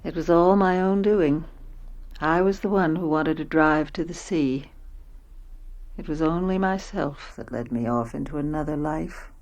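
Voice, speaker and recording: An actress playing a reflective forty-one-year-old American wife and mother, narrating and speaking in a radio drama with measured delivery. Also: sits low, a little mellow, highs cut off.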